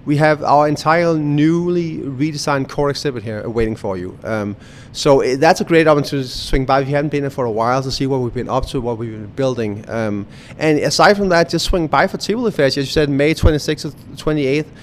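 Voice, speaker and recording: A man's voice: medium pitch, a little fast, slight background noise. A young adult man from Denmark with a Danish accent, a museum director chatting in a radio interview with lots of fillers and restarts. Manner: hesitant, many fillers and restarts.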